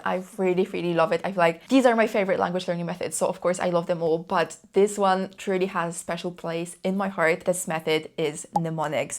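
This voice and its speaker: A young woman whose first language is Polish, talking to camera in a chatty, enthusiastic way and often repeating herself for emphasis. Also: lowish voice. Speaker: language learning YouTuber. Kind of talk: casual talk to camera.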